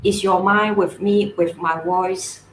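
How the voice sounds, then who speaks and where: Slow, gentle Cantonese-accented woman. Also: mid-range voice, steady tone, echoey room, slight background noise, poor audio. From Hong Kong. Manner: slow, gentle explaining with soft laughs. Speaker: guest speaker. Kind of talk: online panel talk.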